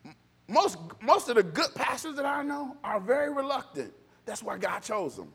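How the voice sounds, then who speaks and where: A man's voice: very high-pitched, sing-song, normal speed, creaky, a bit bright, slightly rough. A man preaching an informal, impassioned sermon in a blunt, conversational way, acting out bits of dialogue as he goes.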